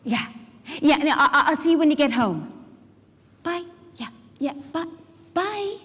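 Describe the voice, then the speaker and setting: A woman's voice: high voice, very animated, a little slow, fairly bright tone, heavily acted. An actress playing a busy, indignant care worker and mother in a chatty, emphatic stage monologue. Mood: flustered, apologetic. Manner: chatty, emphatic, confiding asides to the listener. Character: Stella, busy, warm-hearted, indignant working mother and community care worker. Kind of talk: dramatic monologue reading performed live. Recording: echoey hall, live stage reading.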